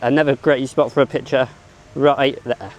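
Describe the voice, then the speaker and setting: A man vlogging at a theme park, rambling and unsure of himself, hoarse as he is losing his voice. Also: mid-range voice, fairly even intonation, normal speed, dull audio. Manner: rambling, self-correcting, unsure. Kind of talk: casual vlog commentary.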